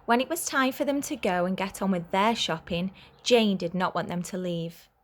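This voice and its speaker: A woman's voice: medium pitch, normal speed. Woman reading a children's storybook. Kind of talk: children's storybook read-aloud.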